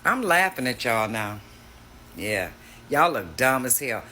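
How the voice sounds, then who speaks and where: A man's voice: mid-range voice, very animated, measured pace, bright, forceful tone, slight background noise. A man hosting a reaction video, talking casually in a mocking, slangy way.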